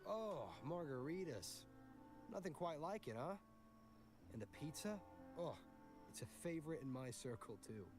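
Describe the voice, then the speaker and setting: A friendly young male adventurer, played by a man with a fairly high voice. He sounds chatty and curious, as in video game dialogue, with music clearly behind. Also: expressive, moderate pace, pauses often, natural voice.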